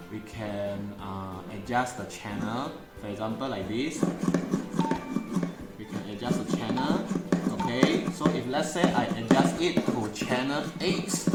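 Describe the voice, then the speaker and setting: A parent hosting a casual toy unboxing, repeating words and phrases and putting simple teaching questions to a child. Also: mid-range voice. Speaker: parent and vlog host presenting a toy review. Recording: echoey room with loud background music. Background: music.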